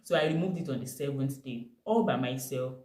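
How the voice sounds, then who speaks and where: A young woman with a Nigerian accent telling a personal story to camera, animated and emphatic. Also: a bass voice, brisk. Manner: animated, emphatic retelling with bursts of laughter. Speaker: vlogger. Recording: echoey room.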